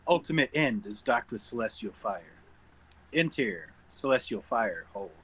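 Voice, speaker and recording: A man's voice: medium pitch, expressive, normal speed, fairly bright tone, breathy edge, rough recording. A man narrating stage directions at a script table read.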